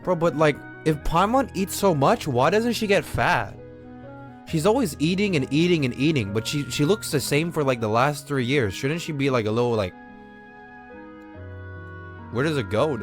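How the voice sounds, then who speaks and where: A man's voice: fairly high pitch, expressive, normal speed, faint music behind. A man giving casual, slangy, incredulous reaction commentary on a game livestream. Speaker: game streamer.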